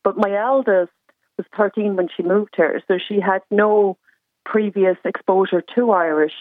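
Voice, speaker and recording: A woman's voice: medium pitch, measured pace, dry recording. Mother calling in over a tinny phone line. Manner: earnest, explanatory, many ums. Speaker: caller, mother. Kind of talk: radio phone-in call. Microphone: telephone.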